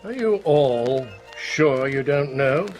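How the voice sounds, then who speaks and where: An actor playing a grave, probing police inspector in a radio drama, speaking bluntly and deliberately. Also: male voice, medium pitch, lively intonation, darkish tone, poor audio. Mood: probing, firm. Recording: music under the voice.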